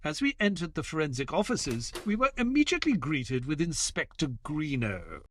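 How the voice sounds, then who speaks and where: Measured male chronicler of grim wartime crimes actor, audio drama, dramatic and widely varied in pitch. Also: wildly swooping pitch. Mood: grave, reflective. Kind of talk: scripted audio drama narration.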